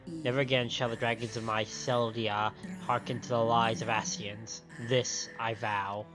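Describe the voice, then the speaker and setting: A man's voice: mid-range voice, steady tone, measured pace, over music, natural voice. A man voicing a noble dragon in a grave, formal, oath-like manner for a fantasy game. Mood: solemn, resolute. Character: noble dragon swearing a solemn vow.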